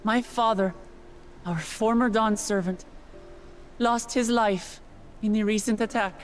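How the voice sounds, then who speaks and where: An actress voicing a dignified, resolute young royal woman in a video game, with solemn, measured delivery. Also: mid-range voice, steady tone, soft background music, close to an everyday voice. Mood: solemn grief.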